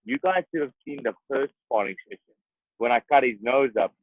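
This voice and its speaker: A boxer, talking earnestly and emphatically in an interview, often repeating himself. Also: male voice, mid-range voice, expressive, brisk, breathy edge, no echo. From South Africa. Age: 30s. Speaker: boxer guest. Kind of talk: interview conversation. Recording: tinny phone-like dry sound.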